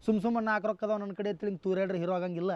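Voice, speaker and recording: ಉತ್ತರ ಕರ್ನಾಟಕದ ಉಚ್ಚಾರಣೆಯ ಒಬ್ಬ ಗಂಡಸು, ಕಾಳಜಿಯುಳ್ಳ ಹಿರಿಯನ ಪಾತ್ರದಲ್ಲಿ ದೃಢವಾಗಿ ಬುದ್ಧಿ ಹೇಳುತ್ತಾನೆ. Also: male voice, sits high, steady tone, rapid-fire, darkish tone, no echo, natural voice. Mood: lecturing. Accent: North Karnataka Kannada. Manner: lecturing, firm, earnest. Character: stern but caring elder-brother mentor man. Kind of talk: short film drama. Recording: slightly dull with faint music.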